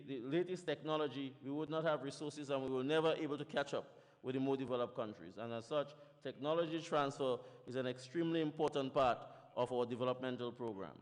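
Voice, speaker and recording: A man from the Caribbean giving a formal conference panel talk, setting out his points one by one in a measured way. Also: fairly high pitch. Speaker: panel speaker. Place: large conference hall. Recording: reverberant conference hall.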